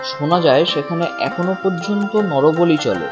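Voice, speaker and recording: Story narrator for a cartoon, medium pitch, music going behind. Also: expressive, moderate pace.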